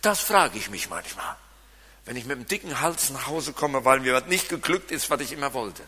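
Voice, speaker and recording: A man with a Siegerland German accent preaching a sermon, swinging from loud emphasis to quiet asides. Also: mid-range voice, very animated, fairly quick, breathy edge. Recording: long reverb of a large hall.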